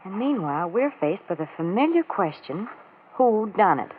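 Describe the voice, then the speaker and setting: A tinny old recording with light hiss carries an actress as a quick-witted lady companion in 1940s radio drama. Her highish voice is arch, inquisitive and very expressive. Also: close to an everyday voice. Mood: playful, curious. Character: quick-witted, playful, worrying woman companion.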